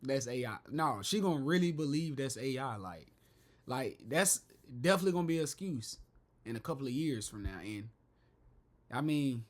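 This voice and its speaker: A man's voice: mid-range voice, lively intonation, moderate pace. A man hosting a reaction video, talking casually in heavy slang, hyped and repeating his catchphrases.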